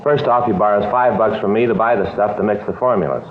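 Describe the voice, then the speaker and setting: Man playing grumbly american cop, folksy and put-upon, low voice, muffled old radio with lots of hiss. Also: fast, close to an everyday voice. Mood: weary, exasperated. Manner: folksy, complaining, chatty. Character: grumbling, put-upon married male police detective partner. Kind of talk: 1950s radio drama acting.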